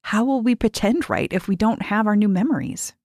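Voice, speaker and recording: A woman's voice: mid-range voice, big pitch swings, a little fast, darkish tone, no room sound, clean studio sound, voice pushed up. A woman voicing an anxious, rule-abiding young girl in a story, hesitant and full of doubt. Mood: worried. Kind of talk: acted dialogue in story narration.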